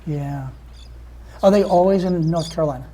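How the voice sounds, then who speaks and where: A woman's voice: sits low, moderate pace, mellow, breathy edge. A woman hosting an interview, who keeps encouraging her guest with affirmations and leading questions. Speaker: host. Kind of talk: interview talk show.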